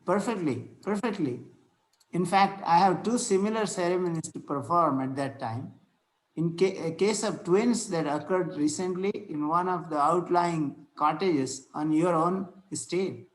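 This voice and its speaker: Guy doing a victorian vicar, high pitched voice, formal n preachy, bit halting, stage play read. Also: lightly acted, rough recording. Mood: courteous, earnest. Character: courteous, earnest Victorian clergyman, solemn and long-winded. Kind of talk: amateur play table read.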